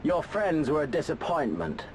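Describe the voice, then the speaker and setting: An actor playing a menacing, haughty imperial warlord in a video game, speaking in a deliberate, imperious way. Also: male voice, a little high, big pitch swings, a little mellow, slight background noise. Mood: menacing, bored. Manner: slow, deliberate, imperious delivery. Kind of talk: video game cutscene acting. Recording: music behind the voice.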